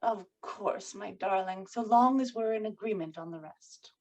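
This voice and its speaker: A woman's voice: mid-range voice, normal speed, slight background noise, natural voice. A woman playing a poised, controlling writer's wife in a period drama table read, with formal, persuasive phrasing. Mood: soothing, coaxing.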